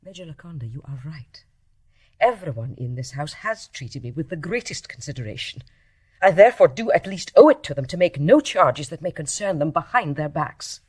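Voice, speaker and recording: An actress playing a principled, stubborn English nurse in a period radio drama, speaking precisely and formally with firm insistence. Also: female voice, deep voice, animated, moderate pace, darkish tone, breathy edge. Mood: solemn. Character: principled, stubborn private nurse making a grave accusation. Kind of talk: 1920s stage play as radio drama.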